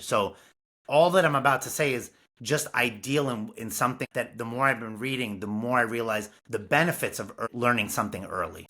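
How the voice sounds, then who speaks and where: A man talks with earnest, animated energy as a podcast guest. His voice has a bright, forward edge as he explains his ideas. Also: mid-range voice. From Brooklyn, New York. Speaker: music curriculum founder, guest. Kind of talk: casual interview talk.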